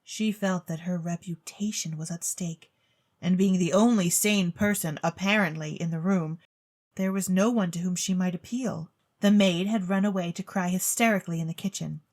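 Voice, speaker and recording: A woman reading a story as a theatrical narrator, with expressive, precise literary delivery. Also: fairly low pitch, normal speed. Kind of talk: theatrical scripted storybook narration for an audio drama. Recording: music bed under the voice. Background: music.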